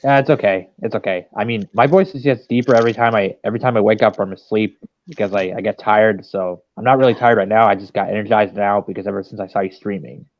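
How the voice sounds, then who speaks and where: A man's voice: fairly low pitch, fast talker, no echo. A man who just woke up, calling into a livestream by phone, stammering and repeating himself. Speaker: caller on a livestream. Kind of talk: casual chat. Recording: dull phone audio.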